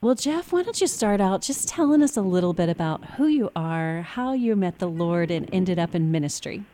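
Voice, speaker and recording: A woman's voice: lowish voice, lively intonation, moderate pace, warm tone. Chatty Texas woman hosting a radio show. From Texas, USA. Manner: chatty and upbeat, with friendly asides.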